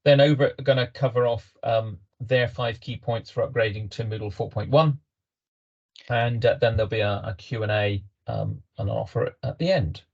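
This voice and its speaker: British male webinar host, halting delivery full of fillers, muffled call audio. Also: medium pitch, creaky, a little mellow. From Britain. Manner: hesitant, many ums and restarts. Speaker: webinar host, company representative. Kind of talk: business webinar presentation.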